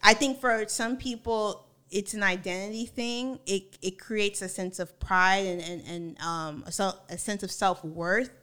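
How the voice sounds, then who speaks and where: A woman's voice: mid-range voice, fairly even intonation, measured pace, hardly any pauses, sharp tone, clear, resonant tone. A woman co-hosting a casual conversation about faith. She speaks reflectively and builds her points by listing one item after another. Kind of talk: casual discussion.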